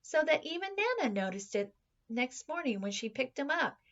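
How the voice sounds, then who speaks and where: A woman reading a children's story aloud like a teacher, expressively, with dramatic pauses and drawn-out words. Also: sits high, big pitch swings, highs cut off.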